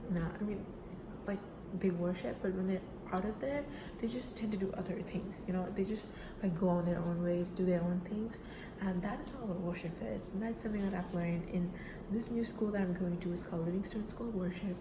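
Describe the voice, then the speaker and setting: A woman's voice: mid-range voice, brisk. Chatty young woman in an echoey room. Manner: casual, chatty, with frequent fillers like "right?" and "you know". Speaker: student giving a class speech. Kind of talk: personal self-introduction speech. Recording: echoey room with background noise.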